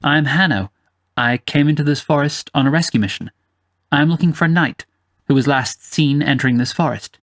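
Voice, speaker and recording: A man voicing a calm, courteous young adventurer who speaks plainly and politely in a storybook reading. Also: on the high side, fairly quick, dry recording, clean studio sound.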